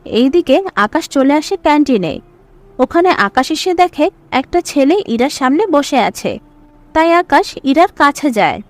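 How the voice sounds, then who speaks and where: A woman's voice: high voice, very animated, measured pace, faint music behind. A woman reading scripted story narration as the narrator.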